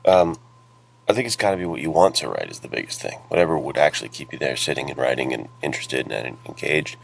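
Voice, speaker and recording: A man's voice: extremely low pitch, sing-song, fast talker, vocal fry, low-quality recording. A man co-hosting a podcast, chatting casually in an informal, plain-spoken way. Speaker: podcast co-host and writer.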